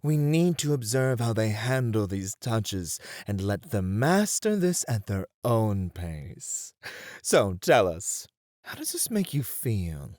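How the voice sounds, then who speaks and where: A man playing a suave, playfully formal etiquette tutor in an ASMR roleplay, with theatrical, deliberate, flowery phrasing. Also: lowish voice, very animated, darkish tone, no echo, studio quality. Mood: teasing, encouraging.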